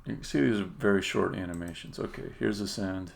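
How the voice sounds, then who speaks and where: A man giving a casual live-stream demo as a game developer, halting and thinking aloud as he goes. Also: low-pitched, gravelly. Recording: noisy, with keyboard typing and clicking.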